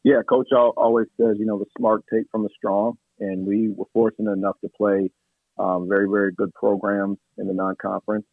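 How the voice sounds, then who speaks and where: A man with a hoarse, raspy voice talks over a tinny phone line. He is an assistant coach answering questions in a steady, measured way, often pausing on fillers. His voice sits low with a gravelly edge. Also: no echo. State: hoarse from yelling at practice. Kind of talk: radio interview answers.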